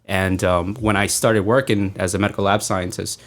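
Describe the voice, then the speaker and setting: A man's voice: deep voice, steady tone, moderate pace, fairly bright tone. A man in his thirties with an American accent, talking casually as a podcast guest and telling stories. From United States, born in Thailand to parents from Laos. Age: 30s. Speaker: podcast guest, medical lab scientist and martial arts instructor. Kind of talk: casual conversational storytelling.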